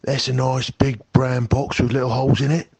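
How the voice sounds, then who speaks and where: A man reading a storybook aloud and voicing a rough-spoken male burglar with a slow, drawling dialect. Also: mid-range voice, little pitch movement, a little mellow, dry recording. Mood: pleased.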